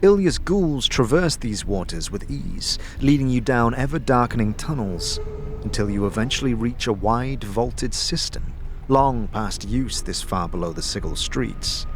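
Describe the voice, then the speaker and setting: A man narrating a fantasy audio drama in the second person, with a deliberate, descriptive storytelling delivery. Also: medium pitch, lively intonation, slight background noise. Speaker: narrator and game master.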